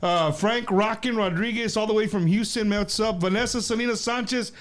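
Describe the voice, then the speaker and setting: A male host, running a casual live-stream interview, often stumbling and repeating words as he asks. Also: high voice. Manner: halting, repeats words while asking. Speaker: show host.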